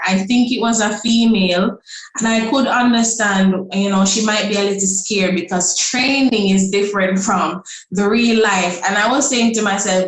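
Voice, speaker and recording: A woman from Jamaica, a guest on a podcast, talking in an easygoing, storytelling way. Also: mid-range voice. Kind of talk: casual podcast conversation. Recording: echoey room.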